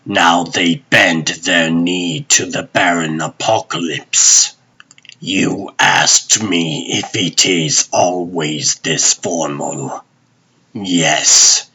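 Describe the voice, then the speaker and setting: A man voicing a grave, solemn guardian in a comic dub, husky, formal and measured. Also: deep voice, pushed and bright, exaggerated voice. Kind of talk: comic dub character acting.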